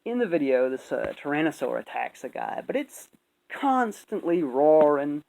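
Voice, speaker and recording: Opinionated commentator, rambling and emphatic, very animated delivery with a creaky edge. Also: mid-range voice, normal speed, rough recording. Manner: rambling, emphatic, frequent swearing. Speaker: video commentator.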